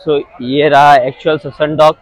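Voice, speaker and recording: A man's voice: on the high side, flat delivery, unhurried, dry recording, rough recording. A man with a Mumbai accent, chatting casually as he walks through a busy outdoor fish market for his vlog. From India. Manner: chatty, switches between Hindi and Marathi with English words. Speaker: vlogger. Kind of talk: casual vlog narration, walking tour.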